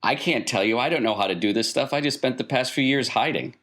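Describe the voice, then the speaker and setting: A man's voice: mid-range voice, fast, a bit bright, pitched above the performer's own voice, natural voice. A man playing a patient but evasive wandering mystic uncle in a tabletop game, reflective and given to repeating himself. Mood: flustered, reassuring.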